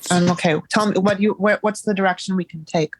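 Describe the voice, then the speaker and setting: A woman in a live therapy session over a call, speaking haltingly and searching for words, stuffed up from crying earlier. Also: mid-range voice, fairly even intonation, a little hiss or hum. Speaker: therapy client.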